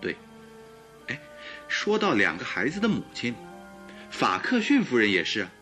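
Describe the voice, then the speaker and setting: An agreeable, earnest detective's companion in a radio drama. His thoughtful speech swings widely in pitch, with a forceful edge and faint music behind it. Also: huge pitch swings, normal speed. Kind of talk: Chinese radio drama acting.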